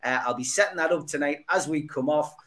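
Jokey podcast host. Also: male voice, on the high side, fast, fairly bright tone. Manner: jokey, chatty, reads viewer comments aloud. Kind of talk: casual chat.

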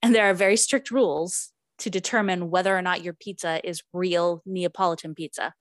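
A woman's voice: lowish voice, fairly even intonation, brisk, dry recording, clean studio sound. A woman co-hosting a casual podcast, talking in a chatty way with many fillers and restarts. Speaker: podcast co-host. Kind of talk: casual chat.

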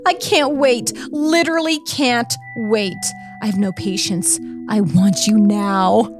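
A woman playing a needy, besotted lover in a theatrical dramatic reading, with a yearning, drawn-out delivery. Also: mid-range voice, natural voice. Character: besotted, needy woman sending secret love texts. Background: music.

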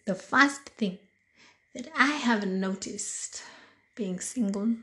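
A Kenyan woman in her thirties, a little hoarse, hosting a casual solo podcast and chatting slowly with many pauses and fillers. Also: medium pitch, pushed and bright. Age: 30s. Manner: slow, deliberate, chatty with frequent fillers. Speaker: podcast host.

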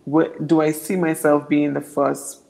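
A young woman from Anguilla with a naturally deep voice, answering interview questions earnestly and often repeating words as she builds her points. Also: level tone, measured pace, gravelly, a little mellow. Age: young adult. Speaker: political candidate.

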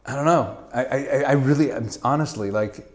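A middle-aged man from Canada, a guest in a casual interview, talking in an animated way with lots of stammered restarts and easy laughter. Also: mid-range voice, a little fast.

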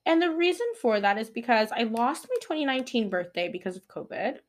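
A woman's voice: fairly high pitch, big pitch swings, a little fast. Playful young woman hosting a podcast. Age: 20s. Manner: playful, rambling, laughs at own jokes. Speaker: podcast host. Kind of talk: solo podcast chat.

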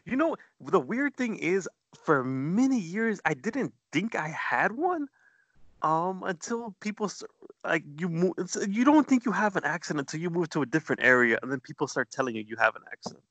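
A man's voice: sits high, sing-song, moderate pace, a bit bright, dry recording. A man from the southern United States, co-hosting a podcast in casual chat, rambling as he searches for words. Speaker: podcast co-host.

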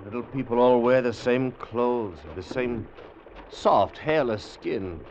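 Terse scientist, noisy old radio. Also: male voice, medium pitch, takes it slowly. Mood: grave, sceptical. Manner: clipped, terse, grave. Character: Dudley, bold, sceptical male scientist and time-machine inventor. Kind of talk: old-time radio drama acting. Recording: dull, noisy vintage radio recording.

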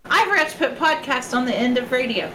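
A woman co-hosting a casual podcast chat, bubbly and quick to laugh, with a shrill voice. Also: expressive, pushed and bright. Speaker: podcast co-host. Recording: echoey room with background noise.

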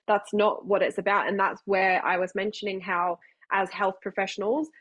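A woman's voice: medium pitch, little pitch movement, normal speed, a bit bright, no room sound, dull audio. Woman podcast host, earnest and emphatic, repeats herself for stress, dry close mic. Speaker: podcast host and health practitioner. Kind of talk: conversational podcast interview.

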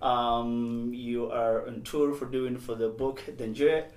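A man's voice: mid-range voice, level tone, slow, roomy sound. A man from Somalia hosting a podcast interview in a casual style, often murmuring agreement. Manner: warm affirming backchannels. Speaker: podcast host.

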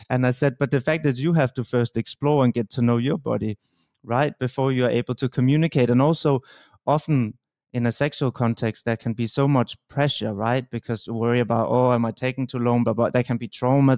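A man's voice: medium pitch, a little mellow, no echo. Reflective male host, dry close sound. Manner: reflective, tells personal anecdotes with quoted dialogue. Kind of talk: conversational interview.

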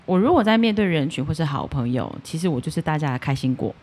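A woman's voice: low voice, sing-song, fast, a little mellow, slightly rough. A woman with a mature, big-sister type of voice, chatting casually as a guest on a podcast. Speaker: podcast guest and audio drama creator. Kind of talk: casual interview chat.

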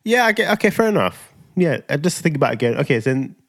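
A man's voice: a little high, sing-song, quick pace, fairly bright tone. A man, co-hosting a casual podcast chat, musing in a laid-back way with lots of restarts. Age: 30s. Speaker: podcast co-host.

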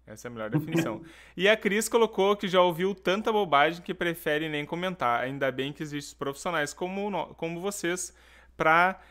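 A man with a slightly high voice co-hosts a podcast and reads viewer comments aloud. His delivery is lively and jokey. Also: fairly quick. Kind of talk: casual podcast chat.

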